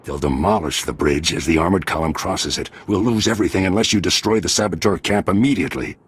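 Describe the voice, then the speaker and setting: An actor playing a stern, worried older nobleman and father in a video game, with a very deep, gravelly, husky voice. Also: fast, no echo. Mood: grim urgency. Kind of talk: dramatic video game acting.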